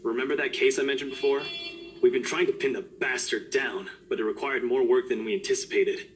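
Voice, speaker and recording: An easygoing young detective from a voiced story game, played by a man. He talks in a wry, joking way at a middle pitch, with a faint hum behind him. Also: fairly even intonation, a little fast, close to an everyday voice. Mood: recounting. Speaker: game character.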